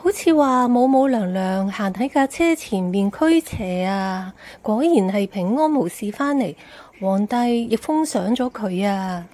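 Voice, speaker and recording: A woman's voice: medium pitch, animated, a little slow, mellow. A woman voicing a chatty, relieved townsperson sharing news in an amateur radio drama. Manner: chatty, gossipy.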